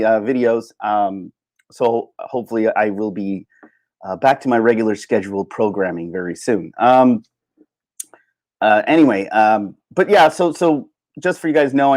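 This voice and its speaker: Male livestream host, slightly low voice, halting and off-the-cuff, thinking aloud in casual solo chat. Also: a little mellow.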